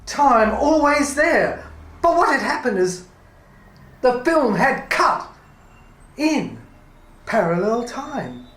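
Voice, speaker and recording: A woman delivering a dramatic spoken-word monologue in halting, fragmented phrases that often repeat. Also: a little high, big pitch swings. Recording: echoey room.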